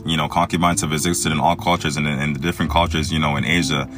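A man from Egypt hosting a solo podcast, reading a text aloud and commenting on it in a halting way with many fillers and restarts. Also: extremely low pitch, little pitch movement. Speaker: podcast host. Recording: music playing underneath.

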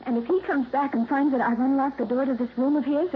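An actress in her twenties playing a resentful, sharp-tongued young woman in a 1950s radio drama. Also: high voice, steady tone, fast talker, natural voice. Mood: nervous. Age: 20s. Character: bitter young woman, niece cut from will. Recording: tinny old radio broadcast, faint hiss.

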